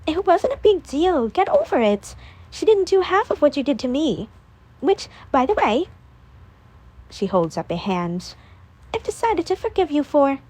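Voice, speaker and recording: A woman voicing a brash, dismissive young woman who talks fast and flippantly. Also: sits high, sing-song, voice pushed up. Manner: sharp, flippant, quick.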